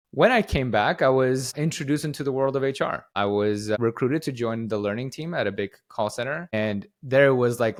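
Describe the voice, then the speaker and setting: A young man from Guatemala, the chief executive of a company, giving long, rambling interview answers with lots of fillers and restarts. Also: medium pitch. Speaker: company CEO, podcast guest.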